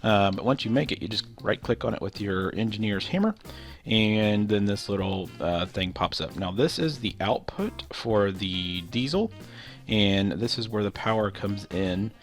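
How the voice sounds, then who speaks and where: Man, very low voice, stuffy and nasal from a cold, casual rambling game commentary, filler words. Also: moderate pace, faint music behind. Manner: rambling, loose explanations with many fillers. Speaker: gameplay commentator.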